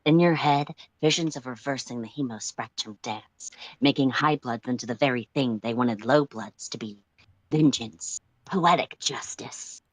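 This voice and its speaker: A co-reader narrating a dramatic story in a measured, portentous manner. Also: medium pitch, no room sound, poor audio. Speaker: livestream co-reader.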